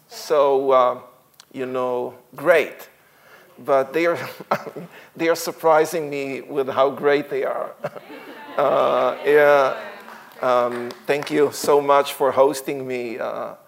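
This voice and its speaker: Israeli man in his 60s, guest speaker at a church, unhurried delivery, lots of "uh" and "um", chuckles between phrases. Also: mid-range voice. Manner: halting, many fillers, frequent light laughs. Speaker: guest speaker, tour guide. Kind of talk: church talk to a congregation.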